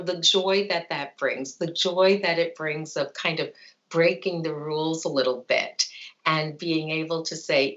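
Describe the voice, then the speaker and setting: A woman's voice: fairly low pitch, a bit bright. A woman in her fifties, a psychologist speaking as a guest on a video call interview, talking reflectively with many you-knows. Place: home room with plant and bookshelf. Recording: dull lo-fi video call audio.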